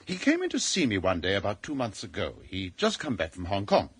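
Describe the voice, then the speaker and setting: A man's voice: on the low side, huge pitch swings, fairly quick, breathy edge, dry recording, exaggerated voice. A man playing an earnest, authoritative British intelligence chief in a radio drama, formal and clipped in manner. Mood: earnest, brisk.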